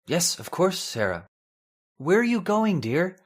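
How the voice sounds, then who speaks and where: A man's voice: high-pitched, sing-song, a little slow, husky, exaggerated voice. A man playing a placating, controlling husband in old-time radio horror, soothing but firm and theatrical.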